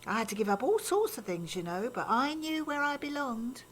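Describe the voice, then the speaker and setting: A woman's voice: sits high, lively intonation, brisk, a bit bright, a little hiss or hum. An older actress playing a fussy, old-fashioned, disapproving grandmother in a naturalistic audio drama. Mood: chiding. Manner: chiding, matter-of-fact, gossipy. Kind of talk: naturalistic radio drama acting.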